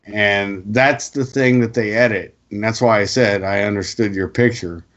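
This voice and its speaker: A male co-host giving opinionated casual talk, explaining emphatically with halting pauses over a lo-fi connection. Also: lowish voice, steady tone, a bit bright. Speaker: co-host putting questions to the guest.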